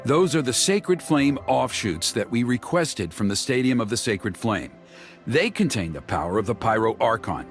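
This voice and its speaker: Man playing an earnest, highly animated young tribesman. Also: moderate pace, lightly acted. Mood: explanatory, serious. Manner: explanatory, earnest. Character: earnest young tribesman explaining a sacred ceremony. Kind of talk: video game character voice acting. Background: music.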